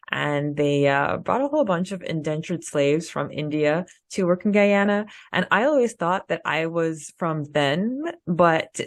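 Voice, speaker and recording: A woman's voice: sits low, dry recording. A woman co-hosting a podcast in a casual, chatty style. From Canada. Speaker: podcast co-host.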